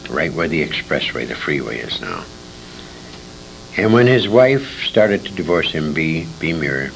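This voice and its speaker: Deep gravelly older American man, animated storyteller. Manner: emphatic storyteller, acts out quoted dialogue, repeats words for emphasis. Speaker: interviewee, veteran radio performer recalling his career. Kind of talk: animated anecdotal reminiscing. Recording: noisy 1980s convention interview tape, rumble.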